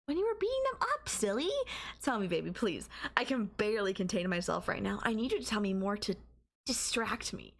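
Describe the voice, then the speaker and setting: A woman playing a doting, obsessive and calmly manipulative girlfriend in an intimate ASMR audio roleplay, coaxing and softly teasing. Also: medium pitch, brisk, vocal fry. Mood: breathless excitement. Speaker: voice actor.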